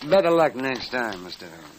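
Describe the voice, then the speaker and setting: A man's voice: medium pitch, very animated, moderate pace, close to an everyday voice, poor audio. A man playing a sly, mock-courteous crooked gambler in a vintage radio Western. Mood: mock sympathy. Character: slick, cool crooked gambler in a Western saloon. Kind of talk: 1940s radio western acting. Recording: old radio recording, faint hiss.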